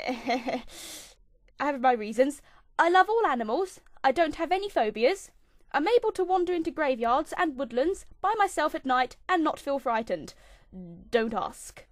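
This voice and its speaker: A young English woman in her twenties with an English accent, hosting her own vlog and reading a list of facts about herself in a chirpy way that dips into low mutters. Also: animated, moderate pace, no echo, studio quality. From England. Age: 20s. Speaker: channel host. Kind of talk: scripted fifty-facts vlog.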